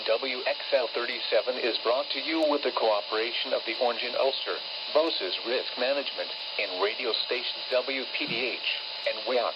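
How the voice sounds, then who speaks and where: Synthetic male announcer, medium pitch, scripted forecast, formal delivery, lo-fi weather radio, very noisy static. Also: moderate pace. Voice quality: synthetic text-to-speech voice. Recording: muffled radio broadcast with loud static.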